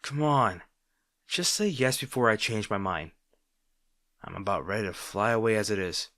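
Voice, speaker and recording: A low-pitched male supervillain rival acted by a man in an intimate audio roleplay. He is sarcastic and exasperated, yet softly protective, with halting delivery. The sound is dry and close. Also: animated, dry recording. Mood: exasperated, insistent. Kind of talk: intimate audio roleplay acting addressed to the listener.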